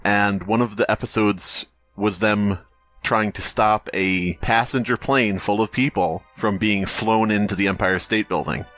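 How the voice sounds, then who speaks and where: A man's voice: on the low side, unhurried, a bit bright, no room sound, faint music behind. A man co-hosting a podcast, riffing casually in a jokey, mock-dramatic way. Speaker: podcast co-host. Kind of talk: casual comedic banter, riffing.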